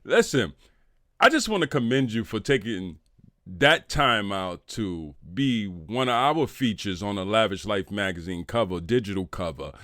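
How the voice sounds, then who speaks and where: A middle-aged man hosting a casual interview podcast, talking in an animated, effusive way with plenty of slang. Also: on the low side, unhurried, no echo, studio quality.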